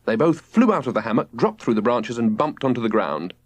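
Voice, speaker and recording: A man narrating a children's audiobook in an expressive, scripted storytelling style. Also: medium pitch, brisk, no room sound.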